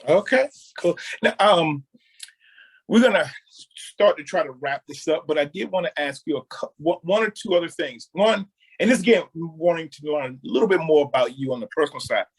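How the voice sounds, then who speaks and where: A man co-hosting a podcast stumbles through his questions, restarting again and again. His voice is fairly high for a man and has a husky edge. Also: low-quality recording. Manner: halting questions with many restarts. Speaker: podcast co-host. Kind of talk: casual podcast interview.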